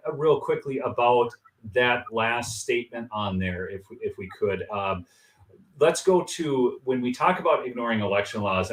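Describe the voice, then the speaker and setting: Animated man, echoey distant mic. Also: medium pitch. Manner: animated, opinionated, jumps in with agreement. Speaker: interview host. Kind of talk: political talk show interview.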